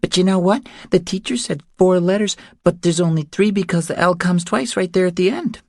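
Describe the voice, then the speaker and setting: A man voicing a bright, plucky little schoolgirl in a thriller audiobook. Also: high-pitched, fairly quick, dry recording, clean studio sound, pitched above the performer's own voice. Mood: proud, matter-of-fact.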